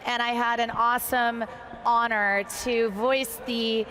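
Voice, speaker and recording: A woman co-hosting a live event broadcast in a chatty, friendly and excited way. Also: a little high, slow. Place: live set in a convention center. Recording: faint convention floor noise.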